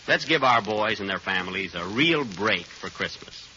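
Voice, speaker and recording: A man delivers an earnest 1940s radio appeal in a fairly low, very forceful voice. The old recording is muffled. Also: expressive, moderate pace, slight background noise.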